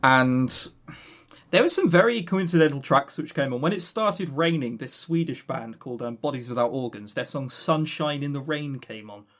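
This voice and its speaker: Animated, blunt man. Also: medium pitch, normal speed. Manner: blunt, sweary, scornful. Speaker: podcast co-host. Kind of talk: casual opinionated game review chat.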